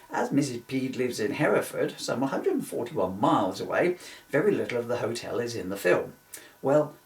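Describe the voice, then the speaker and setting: A man reading from his own book in an informal way, with jokey, self-mocking, rambling asides. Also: mid-range voice. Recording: echoey room, distant mic.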